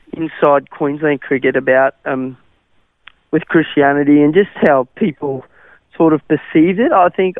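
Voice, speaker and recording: A man's voice: fairly high pitch, no room sound. A young man from South Africa with an Australian accent, Afrikaans his first language, answering radio interview questions hesitantly with many fillers. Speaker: interview guest, cricketer. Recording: tinny phone-like radio line.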